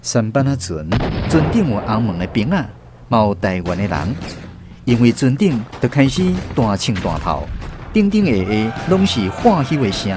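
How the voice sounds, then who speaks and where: Taiwanese guy narrating a radio drama, super animated, swoops around, music playing behind him. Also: mid-range voice, moderate pace.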